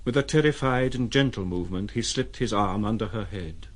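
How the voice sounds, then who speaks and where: A man giving scripted literary narration for an audio drama, with a husky voice. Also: fairly low pitch, a little fast, lightly acted.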